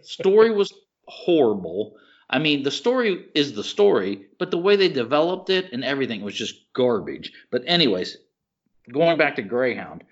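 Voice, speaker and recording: An American man with a mid-pitched voice talks casually as a podcast co-host. He is opinionated and blunt, leaning hard on his words with plenty of slang. The audio is somewhat dull and lo-fi. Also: sing-song, moderate pace. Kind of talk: casual chat about movies and TV.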